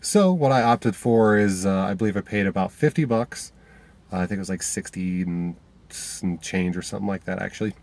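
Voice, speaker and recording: A man's voice: low-pitched, brisk, a little mellow. Stuffy-nosed man vlogging from his car. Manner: rambling, many fillers and restarts. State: stuffy from a cold. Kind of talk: casual talk to camera. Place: inside a parked car.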